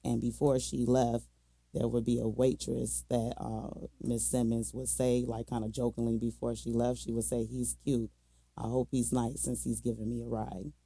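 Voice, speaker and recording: American male podcast storyteller, very dark gravelly tone, slangy and colloquial, recorded close and dry. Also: mid-range voice, monotone, normal speed, no echo. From Minnesota, United States. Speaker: podcast co-host telling a true crime case. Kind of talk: casual true crime storytelling with slang.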